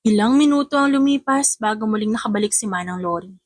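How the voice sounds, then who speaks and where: A woman's voice: medium pitch, fast talker, dry recording, studio quality. A woman reading a romance audiobook as a dramatic scripted narrator.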